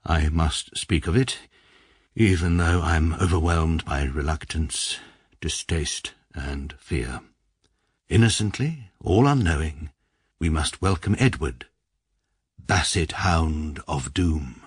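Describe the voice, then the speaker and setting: Pompous British barrister, very low voice, husky, dry close sound. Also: male voice, takes it slowly, no echo, exaggerated voice. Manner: precise, dry, pedantic. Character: pompous middle-aged barrister narrating his confession. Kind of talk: first-person radio narration.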